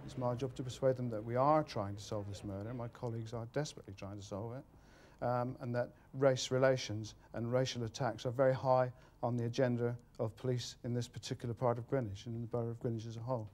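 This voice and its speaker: Senior police officer, male, quick pace, formal answers to a news interviewer. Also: mid-range voice, steady tone, a little mellow.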